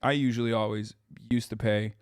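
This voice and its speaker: A man's voice: mid-range voice, steady tone, fairly quick, clean tone, no echo, clean studio sound. Blunt, profane streamer man. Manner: profane, blunt, joking with chat. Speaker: streamer reacting to videos. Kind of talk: casual livestream chat.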